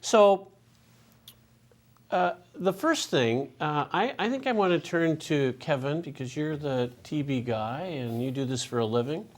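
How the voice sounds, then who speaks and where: A man moderating a medical panel, walking through a patient case slowly with many fillers. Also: fairly high pitch, lively intonation.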